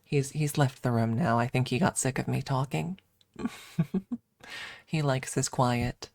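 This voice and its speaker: Woman, very deep pitch, unhurried, casual ASMR chatter, candid rambling, dry close-miked sound. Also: vocal fry, darkish tone, no room sound. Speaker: livestream host and ASMR streamer. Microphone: close microphone.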